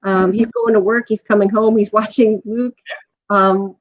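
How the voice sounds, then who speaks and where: A woman's voice: mid-range voice, fairly even intonation, a little slow, dry recording. Teacherly woman, dry lo-fi sound. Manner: explanatory, teacherly. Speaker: guest, college professor. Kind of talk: casual podcast discussion. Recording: dry, dull lo-fi audio.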